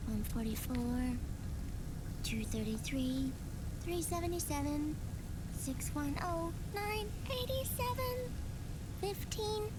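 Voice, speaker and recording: Shy, very high-pitched little girl. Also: exaggerated voice. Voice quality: small, childlike. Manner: stammering, hesitant. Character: shy, timid little girl, sweet and hesitant. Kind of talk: video game cutscene acting. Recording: loud vehicle hum behind the voice.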